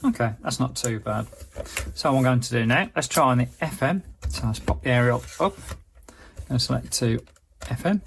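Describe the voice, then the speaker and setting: A man's voice: mid-range voice, expressive, normal speed, gravelly, slight background noise. A man giving a casual, chatty product review, explaining features as he demonstrates them.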